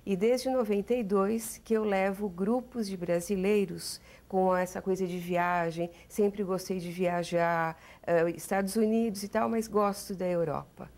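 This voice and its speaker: A middle-aged woman with a São Paulo accent, a watercolor artist answering interview questions in a relaxed, chatty way. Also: mid-range voice, a little slow, few pauses. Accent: Paulistano Brazilian Portuguese. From São Paulo, Brazil.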